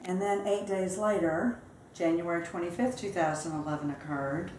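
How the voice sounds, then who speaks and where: A woman's voice: on the low side, fairly even intonation, a little mellow, slight background noise. Reflective woman in her fifties, echoey room. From Charleston, South Carolina. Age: 50s. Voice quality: measured, reflective, with occasional fillers. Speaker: interview guest. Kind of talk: recounting life story in an interview.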